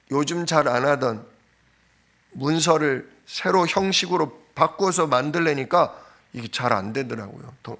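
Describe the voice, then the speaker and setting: Hoarse pastor with a raised pitch. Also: male voice, fairly bright tone. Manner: anecdotal, informal storytelling with frequent fillers. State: hoarse from a cold. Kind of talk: conversational sermon. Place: church sanctuary.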